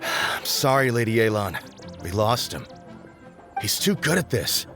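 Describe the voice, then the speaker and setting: A man's voice: lowish voice, sing-song, a little slow, music in the background. An actor voicing a deferential male subordinate scout in a video game, with an apologetic manner. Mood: apologetic, defeated. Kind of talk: English video game voice acting.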